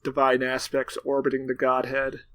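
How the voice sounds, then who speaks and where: A man's voice: mid-range voice, monotone, normal speed, a bit bright. A man co-hosting a podcast, chatting casually and dropping wry remarks. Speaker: podcast co-host. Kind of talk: casual chat.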